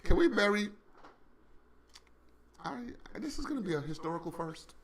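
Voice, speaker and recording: A man co-hosting a podcast, trading casual, profane banter with loud laughing outbursts. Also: sits high, normal speed, a little hiss or hum. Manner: animated, loud laughing outbursts, heavy swearing. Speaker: podcast co-host.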